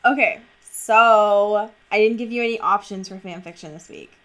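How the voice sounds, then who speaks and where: A woman co-hosting a podcast in casual banter, playful, slangy and quick to swear. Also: medium pitch, a bit bright. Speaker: podcast co-host.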